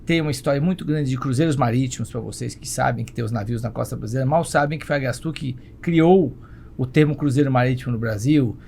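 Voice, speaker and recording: Older brazilian guy guesting on a podcast, talks quick, lots of fry, bit of room noise. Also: medium pitch, a little mellow. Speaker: podcast guest, travel company executive. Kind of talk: conversational storytelling. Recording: clear background noise and ambience.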